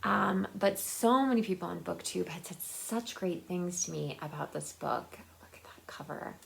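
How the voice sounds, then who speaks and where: A woman's voice: lowish voice, moderate pace, gravelly, slight background noise. A woman chatting casually and enthusiastically to viewers in a rambling, conversational book vlog. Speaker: book vlogger.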